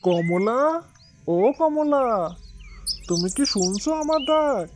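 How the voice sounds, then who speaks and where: A woman's voice: fairly high pitch, expressive, slow pace, loud music behind the voice. A woman voicing a poor village husband and concerned father in a cartoon, earnest and beseeching. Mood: calling out. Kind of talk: cartoon dialogue. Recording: very noisy track, loud birdsong.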